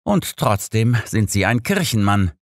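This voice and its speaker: A man voicing a brisk, impatient, probing elderly detective in an audiobook. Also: on the low side, very animated, no echo, clean studio sound, pitched above the performer's own voice.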